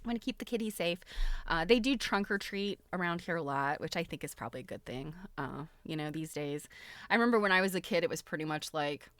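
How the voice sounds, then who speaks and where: A woman's voice: lowish voice, expressive, fast, a bit bright, no room sound. A middle-aged woman hosting a casual livestream, chatty and giggly, often breaking into exclamations. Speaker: livestream host.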